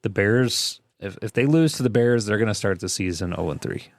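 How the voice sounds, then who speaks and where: A man's voice: lowish voice, expressive, a little fast, no room sound. A man co-hosting a podcast, chatting casually about sports in short, loose, conversational phrases. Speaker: podcast co-host.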